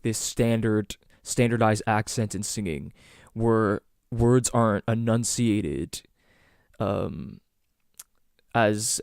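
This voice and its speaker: A man's voice: lowish voice, darkish tone, clean tone, dry recording. Rambling Canadian man, dry close sound. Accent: Canadian, Greater Toronto Area. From Southern Ontario, Canada. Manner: rambling, many ums and restarts. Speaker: podcast host. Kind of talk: casual solo podcast monologue.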